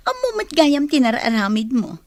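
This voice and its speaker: An actress playing a schemer's sly, prying sweetheart and accomplice in an audio drama, coy in manner. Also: female voice, sits high, exaggerated voice.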